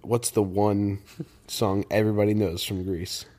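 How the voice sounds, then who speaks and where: A man's voice: on the low side, flat delivery, a little slow, a little mellow. A young man co-hosting a casual podcast, dry and joking, a little sick with the sniffles. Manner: dry, joking, matter-of-fact. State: slightly sick, sniffly and low-voiced. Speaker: podcast co-host. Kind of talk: casual chat.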